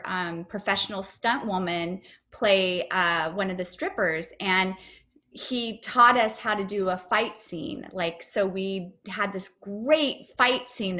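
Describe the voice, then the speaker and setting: A woman's voice: mid-range voice, bright, forceful tone. A woman comedian and actor answering interview questions over a video call, chatty and upbeat, with lots of fillers and restarts.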